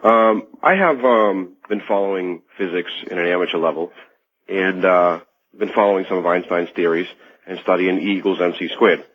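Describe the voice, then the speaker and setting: A man calling a radio show by telephone, talking in a casual, halting way. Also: low voice, slightly rough. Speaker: phone-in caller. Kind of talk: conversational radio call-in. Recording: narrow lo-fi phone line.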